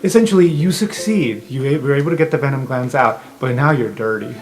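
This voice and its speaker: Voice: medium pitch, brisk, a little hiss or hum. A game master narrating an improvised tabletop fantasy game in a conversational way.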